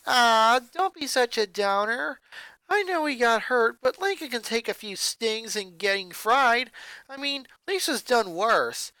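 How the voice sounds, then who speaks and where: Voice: medium pitch, lively intonation, a little slow, pushed and bright, no room sound, voice pushed up, low-quality recording. A reader voicing a fourteen-year-old girl comedian who keeps cracking puns and laughing at her own jokes. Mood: joking. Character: upbeat teen girl comedian, loves puns.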